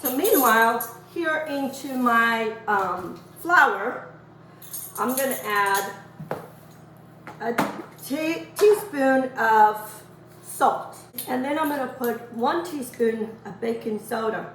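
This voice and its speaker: Hoarse woman, slow and roomy. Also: on the high side, animated, a bit bright, far from the mic, slight background noise. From Azores. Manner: chatty, homely, rambling asides. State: hoarse from a lingering cough. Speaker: cooking channel host. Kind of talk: casual cooking demonstration. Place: home kitchen. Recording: roomy kitchen, voice off-mic.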